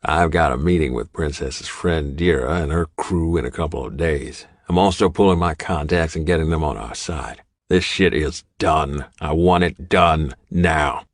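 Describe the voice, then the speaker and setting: A commanding older biker boss, voiced by a male audiobook reader. He is stern and deliberate, in a low voice with a dry sound. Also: dry recording. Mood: stern, urgent.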